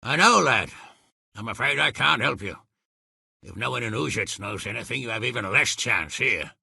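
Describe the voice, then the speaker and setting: Gruff, raspy medieval lord. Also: male voice, mid-range voice, expressive, normal speed, pushed and bright, no echo, studio quality, heavily acted. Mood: grave, weary. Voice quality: raspy, gravelly. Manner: blustery, blunt, commanding, swearing. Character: gruff, hot-tempered, foul-mouthed medieval lord and commander. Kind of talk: dramatic video game voice acting.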